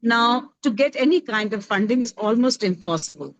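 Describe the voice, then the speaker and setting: A woman's voice: a little high, animated, moderate pace, dull audio. Reflective Indian-accented middle-aged woman. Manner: thoughtful, searching for words with many fillers. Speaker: roundtable panelist. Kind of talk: panel discussion, conversational.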